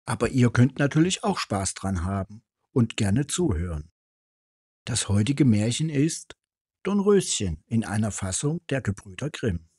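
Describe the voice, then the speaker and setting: Husky man reading a fairy tale aloud. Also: mid-range voice, big pitch swings, measured pace, a little mellow, dry recording, studio quality. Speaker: storyteller, channel host.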